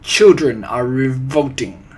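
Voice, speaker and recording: A tyrannical grand witch, acted out by a man for a storybook, husky and loud. She rants imperiously in broken English, thundering out orders with deliberate menace. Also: mid-range voice. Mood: disgusted, screaming. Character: tyrannical, ranting female head witch with foreign grammar. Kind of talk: audiobook character voice.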